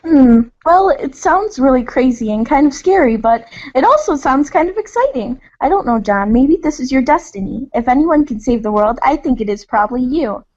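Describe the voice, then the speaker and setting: A woman's voice: sits high, expressive, moderate pace, hardly any pauses, a little mellow, dull audio. A woman acting a sweet, upbeat, sincere teenage girl in a storybook-style reader's theater. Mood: encouraging.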